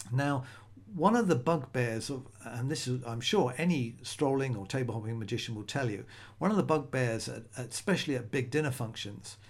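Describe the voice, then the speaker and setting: A middle-aged man hosting a solo podcast, talking in a conversational, rambling way with frequent restarts. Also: mid-range voice. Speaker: podcast host.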